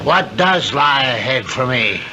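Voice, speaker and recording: An actor playing a gruff, skeptical middle-aged father in a 1970s radio drama, blustering and stammering in confusion. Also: mid-range voice, animated, unhurried, lightly acted. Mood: befuddled, irritated. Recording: noisy old radio drama recording.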